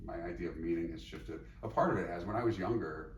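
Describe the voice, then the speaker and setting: A man's voice: low-pitched, fast, muffled recording. A middle-aged man speaking as a psychologist and teacher in a reflective interview, thoughtful and rambling with many fillers and restarts. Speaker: psychologist and teacher, podcast guest. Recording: echoey room, mic at a distance, faint noise.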